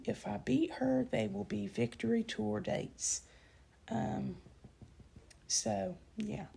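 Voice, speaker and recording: A young woman with a dry throat gives an indignant, emphatic campaign statement, often pausing with ums and correcting herself. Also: on the high side, gravelly, mellow, breathy edge. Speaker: channel host giving a campaign statement. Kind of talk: unscripted spoken statement to camera.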